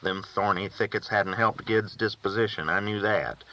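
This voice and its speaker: A man's voice: on the low side, moderate pace, bright, forceful tone. A man reading a novel aloud as a first-person storyteller, with folksy rural phrasing, on a dull recording. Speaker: audiobook reader.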